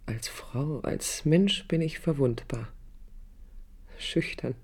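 An actress playing a sensitive, proud yet vulnerable young woman architect, narrating her inner thoughts in short halting phrases for a radio drama. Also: deep voice, a little mellow, slightly rough, heavily acted. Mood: insecure, confessional. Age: young adult.

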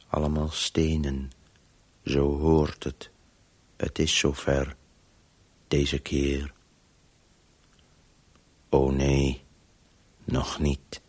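An actor playing a weary, urgent disembodied voice in a radio play, speaking in breathless, halting fragments with a hushed, husky tone. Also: male voice, rumbling low, full character voice. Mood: hushed urgency. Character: disembodied male Voice, obsessively chasing a story, weary and urgent.

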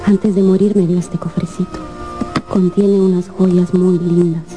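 A woman playing a solemn, gentle, earnest teenage daughter in a radio drama ghost story. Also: lowish voice, level tone, a little slow, over music, natural voice.

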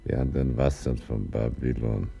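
Old man, radio play, very dark gravelly tone, weary, lovestruck, self-deprecating, ornate lamenting delivery. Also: steady tone, normal speed, soft background music. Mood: pious lament. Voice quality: very deep, gravelly. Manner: florid, mock-pious, lamenting formal speech.

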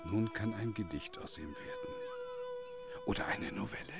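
An actor playing a brooding storyteller in a radio drama, narrating in a solemn, dramatic, literary way. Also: male voice, lowish voice, huge pitch swings, moderate pace, gravelly, exaggerated voice. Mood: pensive. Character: brooding male storyteller turning tragedy into a novella. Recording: loud music behind the voice.

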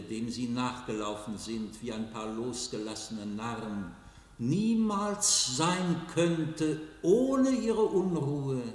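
Godlike, very slow voice in cavernous reverb. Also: male voice, fairly high pitch. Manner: solemn, oracular, portentous. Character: mysterious, godlike man, majestic and stern. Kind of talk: German radio play acting.

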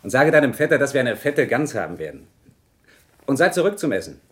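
An actor playing a stern, upright shopkeeper and husband in a radio play, measured, gruff and authoritative. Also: male voice, mid-range voice, sing-song, fairly bright tone. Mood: commanding. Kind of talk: German radio play acting.

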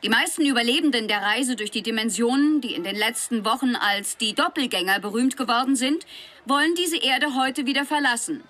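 A brisk female news correspondent in a radio drama. Her voice is high, and she announces formally in measured, reporting phrases. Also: sharp tone. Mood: solemn, brisk.

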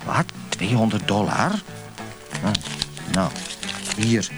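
An actor playing an impatient, eager motel customer in a radio play, muttering and grumbling. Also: male voice, lowish voice, slow. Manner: muttering, hesitant, repeating himself. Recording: loud music behind.

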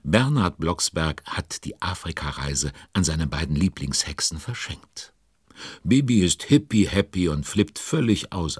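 Male storyteller for kids: extremely low, rough-edged voice, chummy direct address, dry studio sound. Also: no room sound. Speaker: narrator. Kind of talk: scripted storytelling for children.

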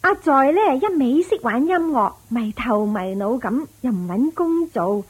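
A woman's voice: on the high side, animated, a little slow, slightly rough. A woman playing an eager, anxious poor village woman in a radio drama, pleading and exclaiming with a Hong Kong Cantonese accent. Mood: exasperated.

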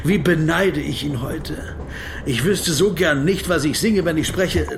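A man's voice: a little high, measured pace, creaky, over music. An actor playing a pedantic, weary middle-aged man who tells his story in a circumstantial, self-ironic way. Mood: earnest lecturing. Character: wordy, pedantic middle-aged male legal counsel, weary and self-doubting. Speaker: narrator and protagonist. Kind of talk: scripted literary radio drama monologue.

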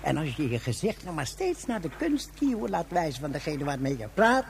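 A stubborn, philosophising old hermit in a sci-fi radio play. The voice is fairly high and gravelly, swinging freely as he rambles in gruff slang. There is faint noise behind him. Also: big pitch swings, a little fast. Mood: brisk, dismissive. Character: stubborn ageing hermit of the salt gorge, philosophising. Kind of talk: Dutch science fiction radio drama acting.

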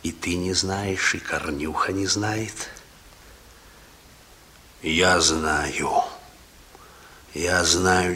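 An actor playing a bitter, menacing young thief in a Soviet radio play, talking in gruff criminal slang. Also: male voice, sits low. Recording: old radio recording, faint noise.

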